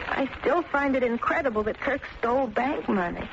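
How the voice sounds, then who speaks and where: A woman's voice: high-pitched, expressive, moderate pace, vocal fry. An actress playing a guarded, dry-witted young small-town woman in old-time radio drama style. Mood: musing. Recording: dull, noisy vintage radio recording.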